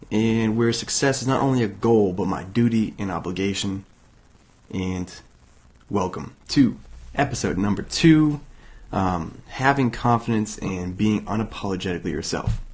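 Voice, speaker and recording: Hoarse, raspy low-voiced young man. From Massachusetts, USA. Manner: casual, halting, many fillers and restarts. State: hoarse from illness. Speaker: podcast host. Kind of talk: motivational self-help monologue.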